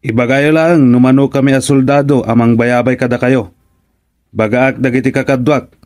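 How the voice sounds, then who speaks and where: A man's voice: mid-range voice, fairly even intonation, normal speed, a little mellow, lightly acted. A man playing a timid, deferential soldier in a radio comedy drama, hesitant and respectful in his speech.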